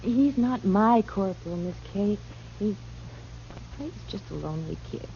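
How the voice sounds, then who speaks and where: A woman with an American accent playing a demure young saloon girl in a 1950s Western radio drama, soft, coy and a little hesitant. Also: mid-range voice, lively intonation, a little slow, vocal fry. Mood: guarded, polite. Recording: muffled, noisy old radio recording.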